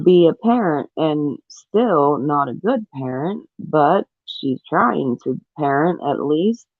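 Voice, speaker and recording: Woman, gravelly fry, thin telephone sound, dry and close, earnest casual commentary. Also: fairly low pitch, dry recording, narrow, tinny audio. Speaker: panelist on a discussion show.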